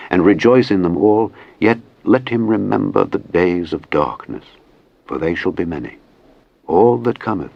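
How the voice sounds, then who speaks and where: A muffled, slightly lo-fi recording of a man with a low voice. He narrates scripture in a solemn, measured way, with formal phrasing. The sound is dry and close, free of room reflections. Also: creaky, dry recording. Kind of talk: solemn scripted Bible reading.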